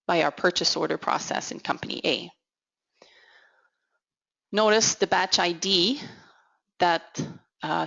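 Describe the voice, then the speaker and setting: A woman giving an instructional software walkthrough as a consultant, in a measured, explanatory way. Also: fairly low pitch, gravelly. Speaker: technical consultant presenting a software demo. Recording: dull, lo-fi audio. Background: voice-over for a screen recording.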